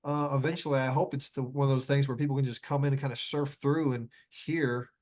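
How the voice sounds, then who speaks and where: Guy author chatting on a panel, halting, repeats himself, loose phrasing, mid pitched voice. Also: steady tone, darkish tone. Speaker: author, podcast guest. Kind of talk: casual panel conversation.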